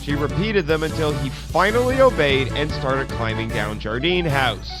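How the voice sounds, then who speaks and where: A man narrating a scripted first-person adventure story in a theatrical, expressive way, acting out his own dialogue as he goes. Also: fairly high pitch, moderate pace, over music. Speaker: podcast host narrating an audio story.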